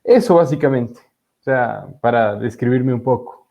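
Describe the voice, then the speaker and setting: A man's voice: mid-range voice, expressive, moderate pace, creaky. A young man from Ecuador, a law student, explaining politics as an interview guest in a hesitant way with many fillers, heard over a video call. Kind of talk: casual interview talk. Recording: dull lo-fi audio.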